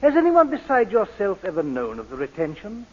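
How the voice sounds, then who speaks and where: An actor playing a stern, sceptical English judge, formal and commanding in a 1940s radio drama. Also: male voice, high-pitched, wildly swooping pitch, fairly quick, full character voice. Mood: sceptical, probing. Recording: muffled, reverberant old radio broadcast.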